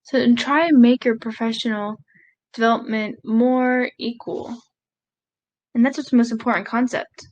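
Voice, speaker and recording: A woman voicing a live sign language interpretation of a speech, halting, with pauses and self-corrections. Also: a little high, steady tone, lo-fi sound.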